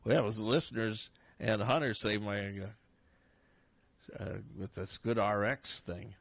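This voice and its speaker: A man's voice: lowish voice, slow pace, gravelly, a bit bright, no echo. An older man hosting a casual radio sports talk show, congested and talking in a rambling, chuckling way. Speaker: radio host. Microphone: studio broadcast mic.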